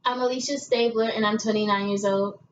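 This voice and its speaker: A woman's voice: fairly high pitch, steady tone, brisk, close to an everyday voice. A young woman playing an earnest investigator guest in a scripted amateur interview. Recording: echoey, muffled room with hiss.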